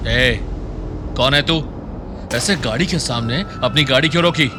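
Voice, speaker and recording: A man voicing a rough, confrontational street goon in a Hindi audio story. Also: mid-range voice, normal speed, background noise, close to an everyday voice. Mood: aggressive. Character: aggressive street goon, blustering then cowed.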